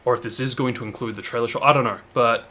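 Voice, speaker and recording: A man's voice: medium pitch, steady tone, quick pace, pushed and bright. A man hosting a gaming video, giving casual, thoughtful and explanatory reaction commentary. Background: game cutscene soundtrack.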